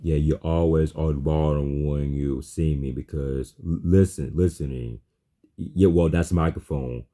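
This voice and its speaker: A man chatting casually in halting, repetitive, non-fluent phrases, often restarting his words. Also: rumbling low, steady tone, dark tone. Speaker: chatbot user. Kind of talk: casual spoken chat.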